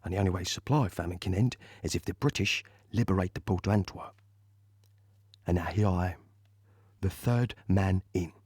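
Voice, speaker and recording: An actor playing a chatty working-class British army private in an audio play, telling his story eagerly and colloquially in a slightly husky voice. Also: low-pitched, moderate pace, darkish tone, no echo, clean studio sound. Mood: excited, proud.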